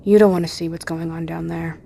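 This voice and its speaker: A woman's voice: fairly low pitch, steady tone, a little fast, gravelly, dark tone, a little hiss or hum. A woman playing a tough, wounded fugitive. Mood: urgent. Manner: tense, clipped, often whispering. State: in pain. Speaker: wounded survivor. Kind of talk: audio drama acting.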